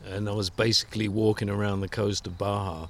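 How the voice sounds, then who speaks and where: A man's voice: on the low side, level tone, brisk, creaky, fairly bright tone, a little hiss or hum. An older man with an English accent, a guest author telling stories of his travels with self-deprecating chuckles. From England. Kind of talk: relaxed storytelling interview.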